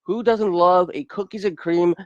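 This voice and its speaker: Man, ad read, high voice, dry close sound, upbeat. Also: fairly even intonation, moderate pace, no room sound, highs cut off. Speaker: ad announcer. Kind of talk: read sponsor ad.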